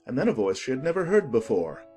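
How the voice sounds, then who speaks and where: A male audiobook narrator reads a story in a medium-pitched voice. His intonation moves freely and expressively. Faint music plays behind him. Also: very animated, fast, mellow.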